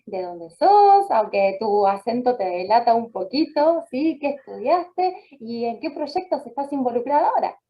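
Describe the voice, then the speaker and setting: A woman radio host with an Argentine accent who peppers her questions with laughter and vos forms. Her voice is fairly high. The sound is muffled and lo-fi. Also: very animated, moderate pace, hardly any pauses. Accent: Argentine Spanish. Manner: laughs often, uses voseo. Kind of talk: friendly radio interview.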